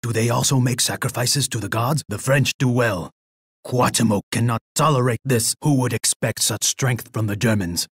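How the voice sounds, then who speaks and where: A husky, rough-edged Aztec emperor in a video game, proud and bloodthirsty. He declaims with imperious pomp at a middling pitch, recorded very dry and close. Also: normal speed, no echo. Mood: grudging, accusing. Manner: pompous, declamatory, speaks of himself in third person. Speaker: game character voice actor.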